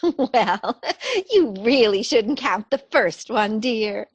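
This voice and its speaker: An actress playing a sweet, dotty elderly aunt in a comedy radio play, genteel and cheerfully innocent. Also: female voice, fairly high pitch, takes it slowly, husky, no room sound, highs cut off. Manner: genteel, chirpy, soothing. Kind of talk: stage comedy radio theatre acting.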